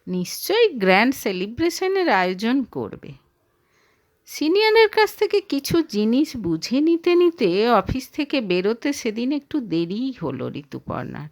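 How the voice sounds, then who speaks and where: Female audio story narrator, highish voice, slow delivery, emotive and dramatic.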